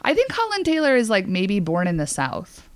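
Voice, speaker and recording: A woman's voice: mid-range voice, wildly swooping pitch, moderate pace, no echo, clean studio sound. An American woman co-hosting a podcast, talking casually in a chatty, opinionated way with many a "like". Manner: chatty, opinionated, uses "like" often. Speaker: podcast co-host.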